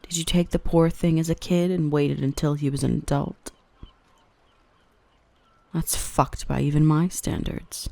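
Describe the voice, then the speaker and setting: A woman playing a dominant yet protective dark elf mistress in an ASMR roleplay, by turns cutting and tender. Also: low voice, level tone, measured pace, gravelly, pauses often, no room sound. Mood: stern, menacing. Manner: stern and cutting to traders, tender and coaxing to the human. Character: dominant female dark elf, protective, gentle with her rescued human.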